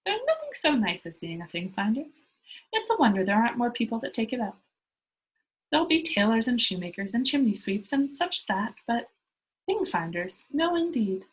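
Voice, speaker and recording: A woman's voice: on the high side, very animated, normal speed, breathy edge, poor audio. A woman voicing a bold, cheeky nine-year-old girl in a children's storybook, boastful and carefree, making emphatic matter-of-fact declarations. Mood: breezy, assured. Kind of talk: children's storybook read-aloud.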